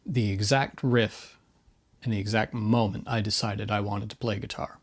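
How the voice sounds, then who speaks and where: A man's voice: fairly low pitch, little pitch movement, moderate pace, faint music behind. A middle-aged man hosting an informal explainer as a guitarist, talking casually with offhand asides. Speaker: guitarist video host. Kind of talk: informal explainer narration to camera.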